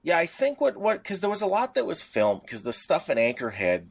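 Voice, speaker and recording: A man's voice: fairly high pitch, huge pitch swings, brisk, creaky. A middle-aged man co-hosting a podcast, reminiscing in a casual, rambling, anecdotal way. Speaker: podcast co-host.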